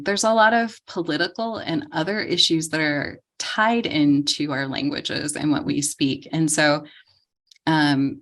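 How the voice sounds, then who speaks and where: Low-voiced woman giving a tutor training talk. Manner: calm, explanatory, frequent ums. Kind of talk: training lecture.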